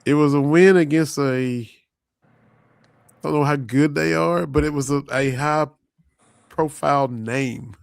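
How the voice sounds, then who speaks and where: Hoarse male podcast host with a gravelly, croaky edge, loose rambling delivery, dry close mic. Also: fairly high pitch, unhurried, pauses often, no room sound. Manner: loose, rambling, repeats himself. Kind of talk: casual sports chat.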